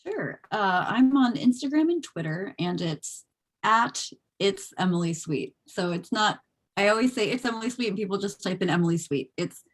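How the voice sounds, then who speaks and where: A woman's voice: mid-range voice, expressive, normal speed. A woman with a mid-range voice chats casually over a video call. She speaks as an actor appearing as a guest on a Q&A panel. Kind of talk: casual chat.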